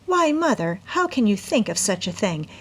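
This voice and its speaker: A woman's voice: mid-range voice, big pitch swings, normal speed, breathy edge, pitched above the performer's own voice. A woman voicing a genteel, self-assured teenage girl with airy, persuasive manners in a storybook reading. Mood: insistent, proper. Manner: airy, important, sweetly persuasive.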